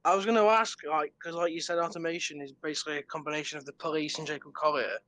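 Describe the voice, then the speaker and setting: A man's voice: fairly high pitch, fairly even intonation, pushed and bright, no room sound. A man asking curious questions in a casual music interview. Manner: curious, asks questions quickly.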